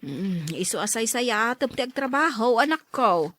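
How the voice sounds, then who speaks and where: Lively Ilocano actress as a caring mother. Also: fairly high pitch, moderate pace, slight background noise. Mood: encouraging. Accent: Ilocano Filipino. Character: caring, encouraging older mother of grown son. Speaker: actor in an Ilocano audio drama. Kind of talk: acted radio drama dialogue.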